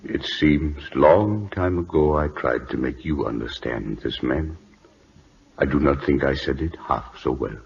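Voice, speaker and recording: An actor playing a grave, loyal Siamese royal minister in an old radio drama, speaking solemn, clipped, formal broken English. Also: male voice, a bass voice, a little slow, breathy edge, heavily acted. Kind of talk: 1940s radio drama acting. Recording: dull, noisy old radio recording.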